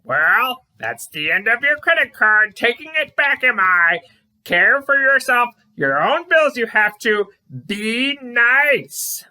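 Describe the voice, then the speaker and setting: A woman's voice: on the high side, expressive, slow pace, sharp tone, pitched above the performer's own voice, heavily acted. Woman putting on a bossy, emphatic fairy godmother puppet. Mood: scolding. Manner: inverted word order, emphatic, pronouncing. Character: hairy fairy godmother, odd, bossy but loving. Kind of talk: puppet show voice acting.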